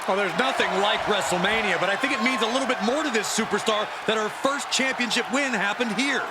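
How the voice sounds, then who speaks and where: A man's voice: sits high, brisk, close to an everyday voice. A man playing an opinionated, cynical wrestling color commentator in a video game, making pointed, emphatic remarks. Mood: excited. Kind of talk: live sports commentary. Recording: noisy arena crowd behind commentary.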